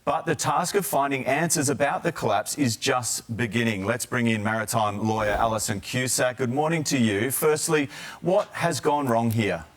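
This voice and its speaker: A man's voice: mid-range voice, moderate pace. A male news anchor with an Australian accent and a mid-range voice. He reads the news and puts questions to guests in a live broadcast interview style.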